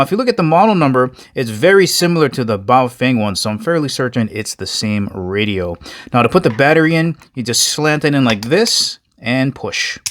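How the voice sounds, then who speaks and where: Male reviewer, mid-pitched, casual and conversational, explaining a product step by step to viewers. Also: a bit bright. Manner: conversational, addresses viewers as guys.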